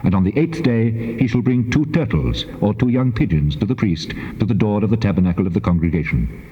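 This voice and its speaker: Guy acting as god in a bible drama, huge reverb, dull old tape, solemn measured commands. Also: fairly low pitch, expressive, lightly acted. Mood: solemn, instructive. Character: solemn, commanding male God pronouncing sacred law. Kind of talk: dramatized scripture recitation.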